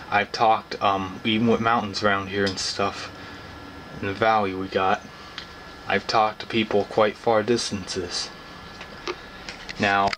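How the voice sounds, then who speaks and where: Low-voiced young man, noisy recording. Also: fairly bright tone. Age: young adult. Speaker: hobbyist vlogger. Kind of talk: casual explanatory talk to camera. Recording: clearly noisy background ambience.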